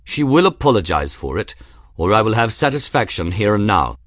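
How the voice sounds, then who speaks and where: A man acts out an arrogant young aristocrat for an audiobook. He is merry in his mockery and lofty in his complaints. His intonation is animated and swaggering. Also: on the low side, normal speed, dry recording, studio quality. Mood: lofty grievance. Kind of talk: audiobook character voice.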